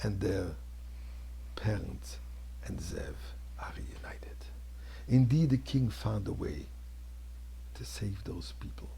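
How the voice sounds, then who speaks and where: A man, a rabbi, telling a story in a dramatic sermon, with a husky, gravelly voice and emphatic delivery that moves between hushed and declamatory. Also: lowish voice, slow pace, pauses often, rough recording. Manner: theatrical, emphatic delivery, swinging between hushed near-whispers and loud declamation.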